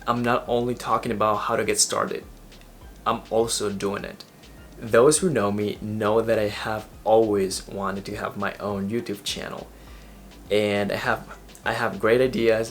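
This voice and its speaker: A young man from Ecuador with an Ecuadorian Spanish accent, talking earnestly and motivationally in a personal vlog, now and then restarting a phrase. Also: on the low side. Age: young adult. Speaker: vlogger.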